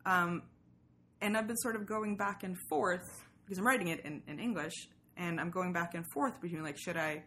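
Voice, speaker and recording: A woman's voice: lowish voice, normal speed, pushed and bright, a little hiss or hum. A woman from Sweden chats casually as a podcast guest, rambling through asides and acting out imagined lines. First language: Swedish. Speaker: podcaster and writer, interview guest. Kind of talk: casual interview chat.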